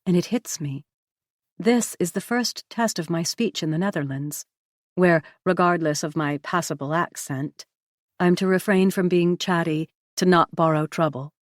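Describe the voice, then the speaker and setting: A woman narrating a novel in the first person, with a measured, even storytelling delivery. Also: on the low side, dry recording, clean studio sound. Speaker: audiobook narrator.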